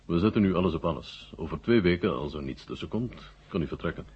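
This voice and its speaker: An actor playing a proud, businesslike Soviet marshal in a 1970 radio drama, speaking with measured, formal authority. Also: male voice, low-pitched, expressive, husky, heavily acted. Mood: proud, commanding. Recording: dull vintage 1970 radio recording.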